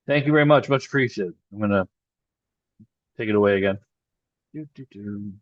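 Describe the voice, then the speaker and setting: A man from Southern Ontario with a somewhat low voice runs an online meeting, calling on speakers briskly and in a friendly way. His audio is dry and dull, typical of a video call. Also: no room sound. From Southern Ontario, Canada. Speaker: meeting moderator. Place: home in Panama, video call.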